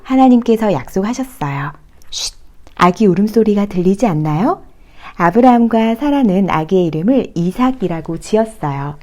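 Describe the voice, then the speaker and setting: A woman narrating scripted Bible stories for small children in a gentle, explanatory way. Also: medium pitch, expressive, moderate pace. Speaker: storyteller.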